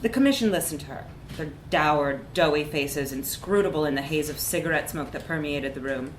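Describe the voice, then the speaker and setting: A woman's voice: deep voice, a little fast, slight background noise. Woman reading wryly in an echoey room. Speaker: short story reader. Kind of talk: animated literary narration, live reading.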